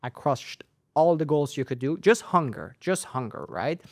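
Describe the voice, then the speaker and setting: A man's voice: on the high side, moderate pace, creaky, no room sound, studio quality. A young man with Persian as his first language, raised in Sweden, telling his story in a casual interview and driving points home by repeating phrases. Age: young adult. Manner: animated, tag questions, repeats phrases for emphasis. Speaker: guest, company founder.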